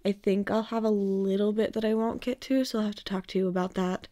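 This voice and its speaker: A woman with a hoarse voice, worn out from staying awake all night, gives a casual vlog update at home. She talks in a tired, rambling half-whisper so she won't wake anyone. Her pitch sits in an ordinary middle range. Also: fairly even intonation, a little fast, dark tone. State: exhausted from no sleep. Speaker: book vlogger.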